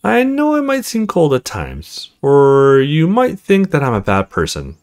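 A man voicing a cool, confiding married female neighbor from a visual novel, reading her dialogue expressively and in measured phrases. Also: fairly high pitch, huge pitch swings, a little mellow, pitched above the performer's own voice, exaggerated voice.

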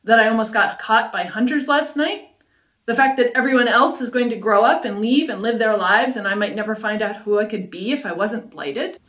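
A woman's voice: a little high, moderate pace, fairly bright tone, recorded from a distance, lightly acted. Defiant young farm girl, as a woman reads her. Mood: anguished. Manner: defiant, emotional. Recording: roomy echo.

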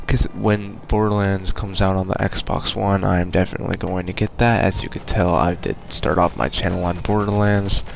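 A young man with a nasal voice, sounding sick and tired, talking quietly in a casual gaming voice-over. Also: sits low, vocal fry, a little hiss or hum, rough recording. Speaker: gaming YouTuber. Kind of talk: casual gameplay voice-over commentary.

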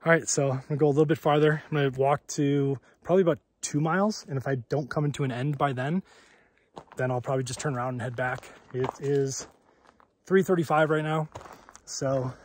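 A man's voice: fairly high pitch, fairly even intonation, brisk, a little hiss or hum. A man vlogging while hiking a mountain trail, chatty and laughing often, a bit out of breath, recorded outdoors on a handheld camera. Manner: chatty, laughs often, hushed when quiet. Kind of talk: casual hiking vlog.

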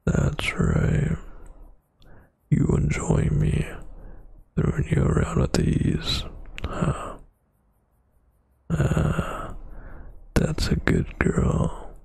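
A man performing intimate boyfriend ASMR as a huge, dominant, teasing roommate, in a breathy, gravelly half-whisper close to the mic. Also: a bass voice, huge pitch swings, darkish tone, no echo. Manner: slow, teasing, dominant murmur. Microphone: close microphone.

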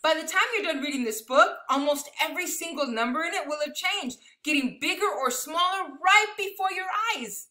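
A woman reads a children's book aloud in a high, very lively voice. Her delivery is expressive and bright, and she breaks off for chatty asides to her young listeners. The sound has the echo of a room at home. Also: a little fast, hardly any pauses. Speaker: elementary school teacher reading a picture book aloud. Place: living room at home, indoors.

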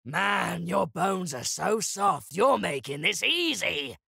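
Male actor as boisterous caveman brawler, taunting game barks, sharp forceful tone, swooping pitch, gravelly. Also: big pitch swings, slow pace, dry recording, clean studio sound, exaggerated voice. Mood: smug taunting. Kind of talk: video game character voice lines.

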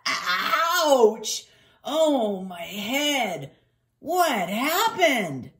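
Woman voicing a groggy, drawn-out grumbling boxer dog. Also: high-pitched, very animated, voice pushed up, full character voice. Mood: groggy, pained. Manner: groaning, grumbling.

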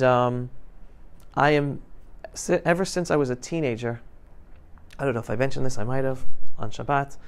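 Male guest speaker, around 50, smooth clear voice, informal classroom talk, emphatic and interactive. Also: mid-range voice. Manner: emphatic, questioning the audience. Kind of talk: informal talk to high school students. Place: classroom, indoors. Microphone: lapel mic.

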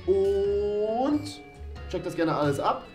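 A man's voice: high voice, expressive, slow, a little mellow. A man in his twenties hosting a casual game show with friends, chatting playfully and teasing them. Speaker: host of a YouTube challenge show. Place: small indoor studio room. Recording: echoey room with music behind. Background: music.

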